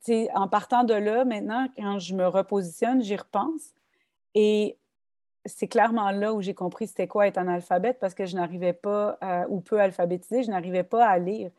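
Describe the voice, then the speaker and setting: A woman's voice: medium pitch, moderate pace, no room sound, clean studio sound. A woman with a Québécois French accent, a guest author talking thoughtfully about poetry in a casual interview. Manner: thoughtful, animated, many restarts and fillers.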